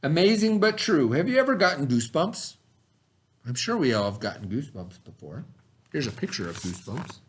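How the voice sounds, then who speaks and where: A middle-aged man reading a lesson aloud in an instructional way, pausing to explain and repeat key terms. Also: on the high side, big pitch swings. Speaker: teacher reading aloud to children.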